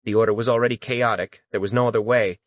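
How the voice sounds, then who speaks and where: A man's voice: on the low side, steady tone, fast talker, no echo. Dry-sounding man narrating dramatic fantasy. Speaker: audiobook narrator.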